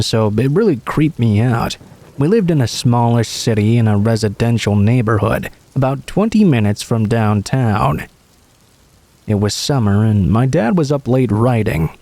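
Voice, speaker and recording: A man's voice: mid-range voice, lively intonation, normal speed, warm tone, no echo. Man narrating stories, dry close sound. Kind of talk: scripted first-person story narration.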